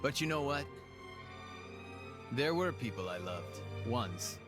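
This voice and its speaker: Weary cynic for a game drama: slow, resigned, wistful, with strong music underneath. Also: male voice, mid-range voice, big pitch swings. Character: weary, cynical man, world-hating yet wistful. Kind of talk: dramatic video game cutscene acting.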